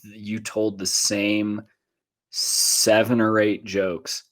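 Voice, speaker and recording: A man's voice: lowish voice, flat delivery, slow. An American man co-hosting a comedy podcast, teasing sarcastically in casual chat.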